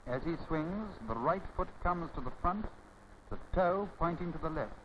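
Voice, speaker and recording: A man narrating a 1930s instructional film from a script, formal and didactic, with measured phrasing. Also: a little high, animated, a bit bright. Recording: scratchy, muffled 1930s film soundtrack.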